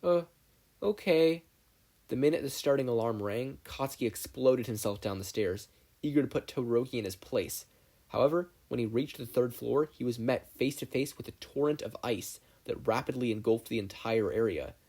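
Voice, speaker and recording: A man's voice: medium pitch, a little fast, darkish tone. A man reading scripted story narration as an audiobook narrator.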